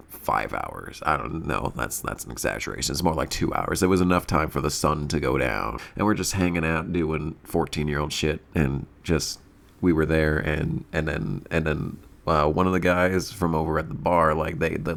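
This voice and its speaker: A man's voice: rumbling low, normal speed, gravelly, fairly bright tone. A man telling a casual, profane comedic story in a rambling way, often repeating himself and stammering. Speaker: storyteller and video creator.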